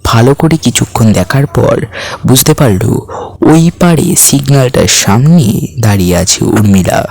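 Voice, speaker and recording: A man's voice: mid-range voice, animated, unhurried, creaky. A man hosting and narrating a scripted ghost story in a dramatic storytelling style. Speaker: horror story narrator and host. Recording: faint music bed under voice. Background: soft background music.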